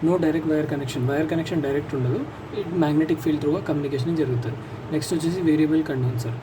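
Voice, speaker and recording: A man's voice: a little high, steady tone, fairly quick. A man with an Indian accent giving an informal explanatory lesson as a lecturer, repeating and rephrasing key points step by step. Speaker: physiotherapy lecturer. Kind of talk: informal explanatory lesson, mixing English terms into Telugu. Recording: distant mic, loud background vehicle noise.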